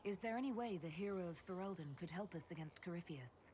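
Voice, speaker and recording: An actress playing a composed, earnest heroine in a video game, speaking in a direct, questioning manner. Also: female voice, lowish voice, animated, fast talker, a little mellow, slight background noise, natural voice. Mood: curious, polite.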